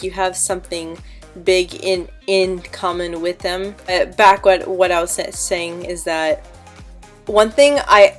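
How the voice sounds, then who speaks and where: A young woman talks candidly to her viewers, stuttering often and getting stuck on the start of words. Her voice sits in a middle pitch, and soft music plays faintly behind her. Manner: frequent stuttering with repeated sounds and blocks; earnest, candid. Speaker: vlogger. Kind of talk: casual talk to camera.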